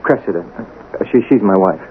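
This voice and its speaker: An actor playing an earnest, fumbling husband who talks haltingly, in a 1980s radio drama style. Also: male voice, low voice, lively intonation. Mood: nervous, earnest. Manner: hesitant, halting, stammering. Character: earnest, fumbling working husband, stubborn but conscience-driven. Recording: tinny, noisy old radio broadcast.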